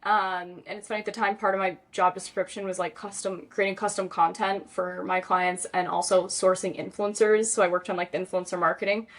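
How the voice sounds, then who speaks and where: A woman's voice: lowish voice, level tone, brisk, hardly any pauses. A young woman guest on a podcast, telling a personal story in a rambling, chatty way, full of "like" and "um". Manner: chatty, rambling, lots of "like" and "um" fillers. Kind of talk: casual storytelling in an interview.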